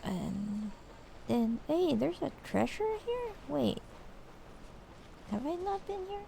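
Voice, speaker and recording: A woman streaming a game, chatting casually in a low-key, hesitant way. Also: very animated, takes it slowly, gravelly, warm tone, a little hiss or hum. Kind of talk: casual livestream chat.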